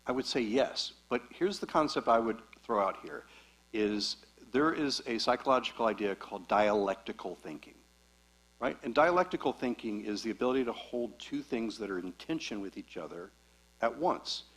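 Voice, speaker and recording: A man speaking as a guest psychologist at a church talk, explaining concepts clearly and patiently. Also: mid-range voice, animated, normal speed, a bit bright, poor audio.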